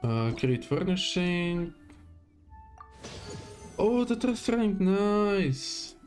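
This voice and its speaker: A man's voice: sits high, big pitch swings, very slow, a little mellow, soft background music. A man giving casual live gameplay commentary, muttering to himself in short fragments. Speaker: game livestreamer.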